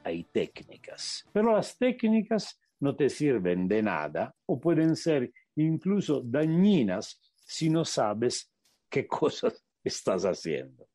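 Man, Italian accent, native Italian speaker, meditation teacher, lively pitch, dry close sound, searching for words. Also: dry recording. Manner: searching for words, slowing to stress points. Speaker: meditation teacher, radio guest. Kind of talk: reflective interview answers.

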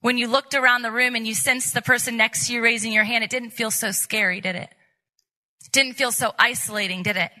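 A woman's voice: a little high, steady tone, brisk, pushed and bright, poor audio. A woman conference speaker giving an earnest, exhorting faith talk, repeating phrases for emphasis. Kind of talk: motivational faith talk to an audience.

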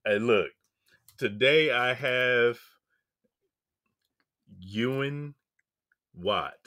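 A man's voice: medium pitch, very slow, pushed and bright, dry recording. A man hosting a podcast interview in a casual, slangy way, greeting listeners as family and the guest as brother. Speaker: podcast host.

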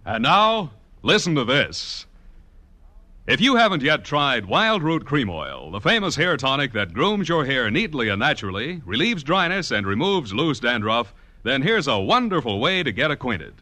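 Casting: male American 1940s radio announcer, bright and lively, polished emphatic sell, dry sound over faint music. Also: medium pitch, normal speed, dry recording.

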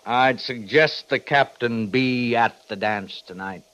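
An American actor playing a firm, by-the-book army major, an authoritative older officer in a 1950s radio western. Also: male voice, mid-range voice, a bit bright. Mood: genial. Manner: authoritative, measured, military. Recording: dull lo-fi 1950s radio recording.

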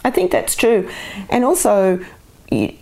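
A woman's voice: a little high, big pitch swings, measured pace, gravelly, warm tone. A woman, an artist talking frankly and with humour in an interview. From Scotland. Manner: frank, opinionated, laughs often. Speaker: artist guest. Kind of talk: casual conversational interview.

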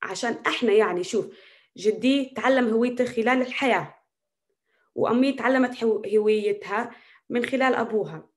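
A woman's voice: on the high side, brisk. A young woman with a Naqab Bedouin accent, answering interview questions in a passionate, emphatic way. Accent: Naqab Bedouin Arabic. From Naqab Bedouin, Palestine. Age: young adult. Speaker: guest, activist.

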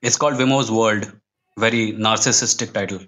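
A man's voice: lowish voice, steady tone, moderate pace, a bit bright. A man with an Indian accent speaks as an interview guest in casual, self-deprecating conversation. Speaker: podcast guest, freelance writer.

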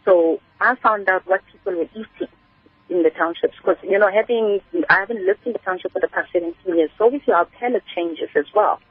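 A woman's voice: mid-range voice, fairly quick, breathy edge, no room sound. A South African woman talking conversationally as an interview guest, explaining things at length in a storytelling way over a narrow phone line. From Kimberley, South Africa. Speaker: guest, spice business entrepreneur. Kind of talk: conversational radio interview answers.

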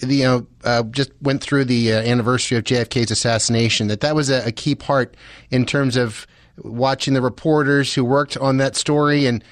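A man's voice: medium pitch, no echo, studio quality. A man hosting a radio show, introducing and questioning a guest in a conversational style.

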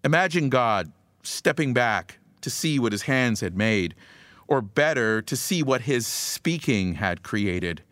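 A man preaching a scripted devotional message in a measured, emphatic way, leaning on rhythmic repetition. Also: medium pitch, lively intonation, a bit bright, no echo.

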